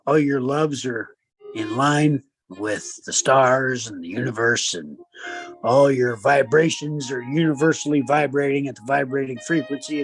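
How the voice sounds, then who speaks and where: A man presents a podcast in an animated voice that rises and falls widely. His announcing is grandiose and playful, and soft music plays underneath. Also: medium pitch. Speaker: podcast host. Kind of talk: animated podcast intro and banter.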